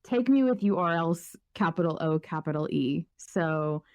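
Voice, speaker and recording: Female podcast host, casual and conversational, soft mellow tone, close dry sound. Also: sits low, animated, moderate pace, no echo.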